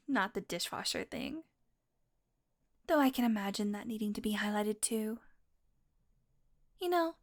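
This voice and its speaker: A woman voicing a playful, predatory female vampire who teases and mocks, sometimes dropping to a whisper. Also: fairly high pitch. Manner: playful, mocking, drifts into whispers. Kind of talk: audio roleplay.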